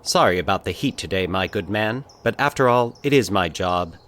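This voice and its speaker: A man voicing the afternoon sun as a polite, chummy, apologetic gentleman in a story. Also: low-pitched, animated, moderate pace. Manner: courtly, chummy.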